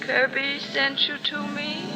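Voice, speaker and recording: An actress playing the solemn, fatalistic ghost of a dead bride in a 1940s-style radio mystery. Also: female voice, high voice, flat delivery, poor audio. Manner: slow, solemn, haunted. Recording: old radio drama with music behind.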